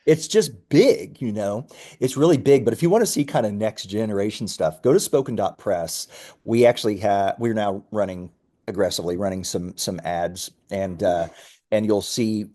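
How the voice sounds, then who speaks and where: A man speaking as a company founder and interview guest, informally pitching his work in an easygoing, halting way with friendly asides. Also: dark tone. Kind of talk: casual conversational pitch.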